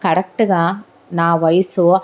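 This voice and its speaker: A woman voicing a middle-aged uncle who slowly confesses his family's painful past. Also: on the low side, flat delivery. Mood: sorrowful. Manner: slow, heavy storytelling.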